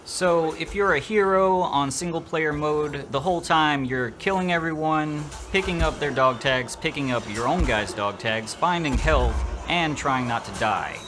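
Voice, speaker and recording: A man's voice: a little high, unhurried, few pauses, over music. A man narrating an opinionated video game review in an informal, slangy, sarcastic way.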